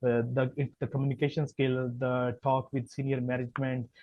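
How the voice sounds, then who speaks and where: A man with a Pakistani accent, a Punjabi speaker, answering podcast interview questions as a chemical engineer, talking haltingly with many fillers and restarts. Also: mid-range voice, flat delivery, lo-fi sound.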